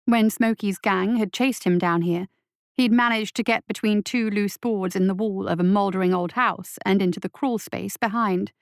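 A woman's voice: mid-range voice, fairly even intonation, moderate pace, vocal fry, no room sound, studio quality. A woman reading a scripted fiction story aloud as its narrator.

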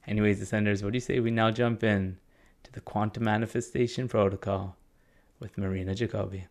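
A male podcast host introduces and guides a spiritual conversation in a measured, earnest manner. His voice sits low. His pitch barely moves from phrase to phrase. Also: steady tone.

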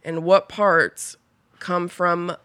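A woman's voice: on the low side, flat delivery, very slow, a bit bright, smooth, no room sound, clean studio sound. A woman of twenty-five, a podcast guest, telling her story in a rambling, casual way, full of fillers and the odd swear word.

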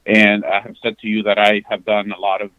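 A man in his forties, originally from the Dominican Republic, speaks in a lowish voice, full of pauses, fillers and false starts. The sound is dry and dull, as over a remote call. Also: completely flat delivery, no echo. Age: 40s. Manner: halting, many fillers and restarts. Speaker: guest. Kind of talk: candid conversational interview. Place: parked outside a sports venue.

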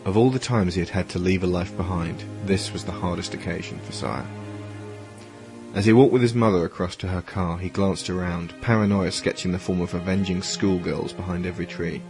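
A man's voice: low-pitched, brisk. A man reading scripted third-person story narration for an audio drama. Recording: music under the voice.

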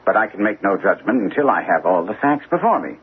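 An actor playing a composed, shrewd English gentleman detective in a radio drama, speaking in a measured, courteous, probing way. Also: on the low side, very animated. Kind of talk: classic radio drama acting. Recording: tinny, band-limited old radio, faint hiss.

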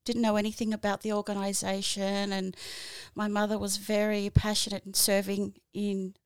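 Australian woman in her forties, halting. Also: mid-range voice, completely flat delivery, clean tone, no echo. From New Zealand. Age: 40s. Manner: halting, many fillers and restarts. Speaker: podcast guest, volunteer. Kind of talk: casual interview answers.

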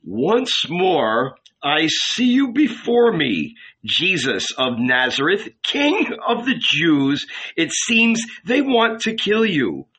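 A haughty Roman governor, acted out by a male audiobook reader, taunting and commanding. The delivery is declamatory and forceful, climbing high and swooping with slow, weighty phrasing. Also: very animated, slightly rough, heavily acted. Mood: mocking. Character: proud, mocking, angry Roman governor, male ruler.

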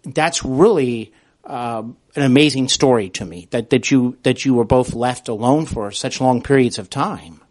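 A man hosting a conversational interview, feeling his way through questions with halting, repeated starts. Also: medium pitch, a little mellow. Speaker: podcast host, interviewer.

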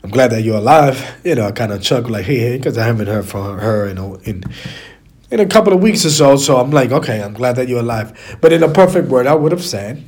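A man with a raspy, stuffy voice from a cold, talking casually and in a rambling way as a solo podcast host, repeating phrases for emphasis. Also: mid-range voice, animated, fast, darkish tone. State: stuffy, slightly hoarse from a cold.

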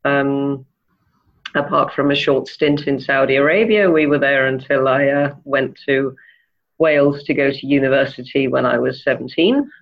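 A woman from Denmark giving thoughtful interview answers, pausing often with ums and uhs. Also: very deep voice, fairly even intonation, highs cut off. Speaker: interview guest, e-commerce manager.

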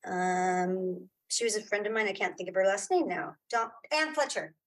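A woman's voice: medium pitch, moderate pace, low-quality recording. A woman guest chatting casually in an interview, thinking aloud and repeating herself as she goes. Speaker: guest, audio drama creator and filmmaker.

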